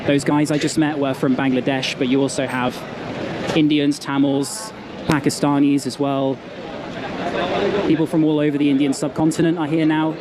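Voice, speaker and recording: A man's voice: on the high side, little pitch movement, normal speed, a crowd in the background. A man narrating a travel vlog as he walks through a busy city street outdoors. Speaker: travel vlogger. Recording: loud outdoor street noise. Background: loud traffic and street noise.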